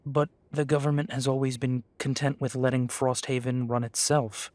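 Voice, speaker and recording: A man's voice: mid-range voice, level tone, moderate pace, darkish tone, dry recording, close to an everyday voice. A young man voicing a young male werewolf pack leader who talks earnestly and deferentially. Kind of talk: amateur audio drama acting.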